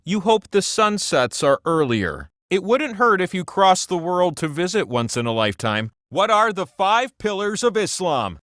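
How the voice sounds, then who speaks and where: A man's voice: high voice, a bit bright, no room sound, clean studio sound. A man voicing a cocky, playful game-show wheel that hosts a comic trivia game with theatrical, wry, mock-pompous asides. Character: talking game-show wheel, cocky, playful know-it-all male host. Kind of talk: comic quiz-game hosting.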